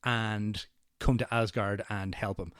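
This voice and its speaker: A man co-hosting a podcast, chatting casually through a movie recap and sometimes quoting lines in animated voices. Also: lowish voice, takes it slowly, clear, resonant tone, no room sound, clean studio sound. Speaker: podcast co-host.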